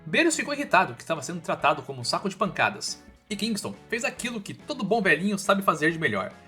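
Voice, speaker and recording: A man's voice: high voice, sing-song, quick pace, sharp tone. A man with a Brazilian accent narrating a scripted story recap in a colloquial, informal way with casual asides. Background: background music.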